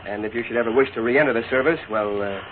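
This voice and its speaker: An actor playing an earnest army captain with clipped, formal speech in a 1940s radio western. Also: male voice, mid-range voice, fast, close to an everyday voice. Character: earnest, anxious army captain of the Old West. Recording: noisy, tinny 1940s radio broadcast.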